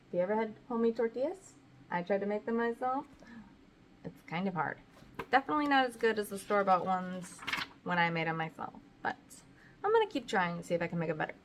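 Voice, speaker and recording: A woman's voice: mid-range voice, lively intonation, fast talker, a little hiss or hum. A woman reading a children's picture book aloud in a casual style, with chatty asides to the viewers. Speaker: read-aloud host and parent.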